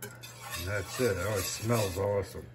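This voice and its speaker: A man's voice: deep voice, a little slow. A man co-hosting a cooking video in a home kitchen, chatting casually with appreciative, informal comments. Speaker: co-host of cooking show. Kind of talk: casual chat, short approving comments. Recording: clear sizzling and kitchen noise. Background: frying, sizzling, stirring.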